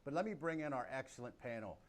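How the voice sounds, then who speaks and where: An older man hosts a comedy talk show over a video call, his voice sitting at an ordinary middle pitch. He brings guests on with upbeat, emphatic introductions. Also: expressive, quick pace.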